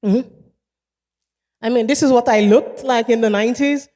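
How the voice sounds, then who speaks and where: Nigerian woman in her 30s doing a keynote, slow and measured, repeats stuff for emphasis, big hall echo. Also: fairly high pitch, mellow. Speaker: keynote speaker. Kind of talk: motivational keynote speech. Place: large event hall. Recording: long hall reverb.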